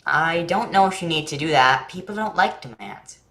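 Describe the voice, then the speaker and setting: A woman's voice: low voice, lively intonation, moderate pace, fairly bright tone, reverberant. A woman acting a naive, chatty young boy in a staged play reading, earnest and full of questions. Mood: puzzled. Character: naive, eager, chatty young boy who loves jokes.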